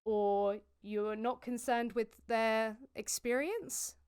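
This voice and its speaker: A British woman hosting an online debate call, asking calm, probing questions. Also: on the high side, slow, clean tone. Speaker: vegan debate host.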